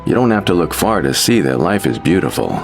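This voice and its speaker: A man's voice: low voice, very animated, faint music behind. A man voicing a vague, easygoing, absent-minded fisherman with a timid streak in an anime-style video game. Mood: blissfully carefree. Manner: vague, unhurried nonchalance.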